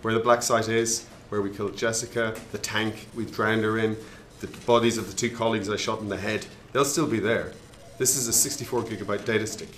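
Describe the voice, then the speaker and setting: A man's voice: on the low side, steady tone, fairly quick, few pauses, a bit bright, roomy sound, a little hiss or hum, natural voice. A man playing a bitter rogue intelligence killer in a radio drama, commanding and threatening. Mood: cold menace.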